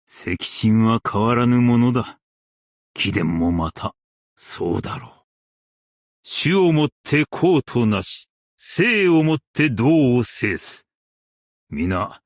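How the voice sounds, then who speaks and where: Dignified scholar-strategist, game character, low pitch, unhurried archaic formal phrasing, stern but merciful, dry close sound. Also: male voice, dry recording. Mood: composed, formal, then commanding, resolute. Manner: formal, archaic, measured speech. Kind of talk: Japanese game character voice lines.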